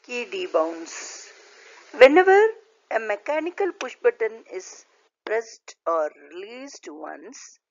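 A woman lecturer reading a scripted slide lesson aloud in a deliberate, halting way, in short phrases. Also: medium pitch, no room sound. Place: voice-over recorded over slides. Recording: dull, lo-fi voice recording.

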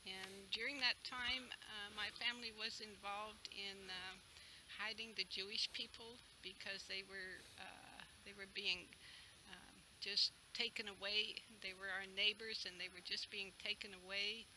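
A woman in her seventies from the Netherlands, a native Dutch speaker, giving a halting, earnest personal testimony. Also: mid-range voice, steady tone. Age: 70s. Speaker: interviewee, retreat camp owner. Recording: clear background noise, outdoor ambience.

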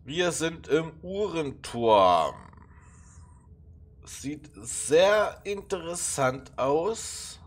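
A man with a stuffy nose from a cold, musing haltingly through a puzzle game with plenty of fillers. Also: fairly high pitch, sing-song. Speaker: let's play gamer. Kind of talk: casual puzzle game commentary.

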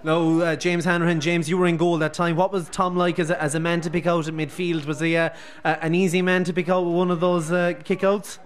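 A middle-aged Irish radio host interviewing guests live from a busy pub on a close handheld mic. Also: male voice, a little high, flat delivery. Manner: brisk, leading questions.

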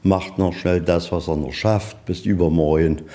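A man with a low, mellow voice leads an informal museum tour. He narrates in a folksy way, putting questions and answering them himself. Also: fairly even intonation, normal speed. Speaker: museum guide. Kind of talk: informal guided tour storytelling. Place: inside a museum.

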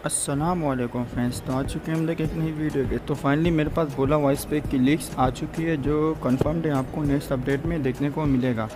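A man's voice: on the high side, fairly even intonation, fairly quick, few pauses, noisy background. A young man, narrating a gaming video as its creator. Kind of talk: scripted gaming vlog narration. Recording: music behind the voice. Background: clear background music.

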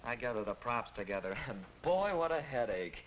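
A man's voice: mid-range voice, sing-song, fairly quick, natural voice. A young man playing an eager, good-natured teenage boy in a 1940s instructional film. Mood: eager, joking. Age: young adult. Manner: earnest, boyish. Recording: muffled, noisy 1940s film soundtrack.

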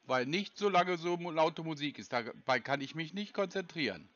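Scam-baiter, male, dry and stubborn, lively pitch, muffled phone audio. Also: moderate pace. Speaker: scam-baiter taking a call. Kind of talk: phone prank call.

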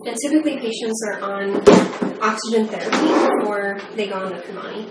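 A woman in her thirties giving a measured, step-by-step training demonstration in the manner of a methodical instructor. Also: mid-range voice. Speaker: medical device trainer. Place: small plain indoor room. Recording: echoey room, distant mic, background noise.

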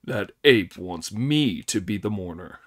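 A man's voice: on the low side, animated, unhurried, a bit bright, pitched below the performer's own voice. A man voicing a lazy, grumbling pig disciple in an audiobook.

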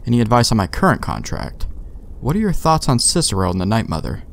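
A man's voice: medium pitch, wildly swooping pitch, fast, creaky, slight background noise. A man doing casual let's play commentary, thinking aloud informally and swearing here and there. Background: video game ambience.

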